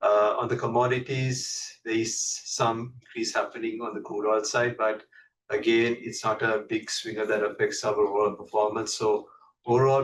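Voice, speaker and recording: A man's voice: lowish voice, a bit bright. A man giving a matter-of-fact business briefing as a company executive on an earnings call. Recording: distant mic with faint background noise.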